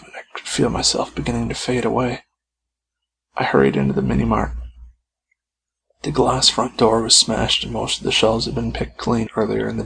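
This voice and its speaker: A man's voice: lowish voice, vocal fry, fairly bright tone. A man reading a scripted first-person horror story as its author and narrator.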